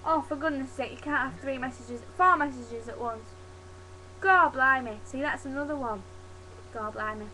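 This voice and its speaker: A young woman from Yorkshire with a softened Yorkshire accent, chatting casually to her webcam. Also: high voice, moderate pace, slight background noise, rough recording. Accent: Yorkshire, softened toward standard British English. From Yorkshire, England. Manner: chatty, demonstrates dialect words, laughs at herself. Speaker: vlogger. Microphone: webcam mic.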